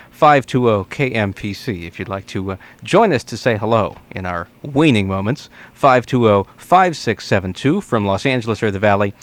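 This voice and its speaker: A man hosting a conversational call-in interview show on the radio. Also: lowish voice. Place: radio studio.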